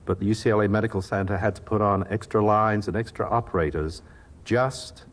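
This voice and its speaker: A man recounting a story in measured speech at a tribute. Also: lowish voice, steady tone.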